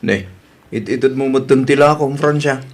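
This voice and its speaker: A man's voice: medium pitch, normal speed, natural voice. A man playing a nagging, suspicious older father figure in a comedy drama, with an Ilocano accent and a questioning manner. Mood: needling.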